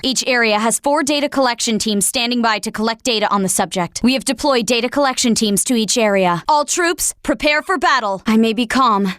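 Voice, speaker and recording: A woman's voice: on the high side, a bit bright, no echo, studio quality. A woman playing an anxious military operator in a video game, giving clipped, dramatic reports on a monster threat. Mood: awed, urgent, then afraid, composed. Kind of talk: video game voice acting, urgent radio-briefing dialogue.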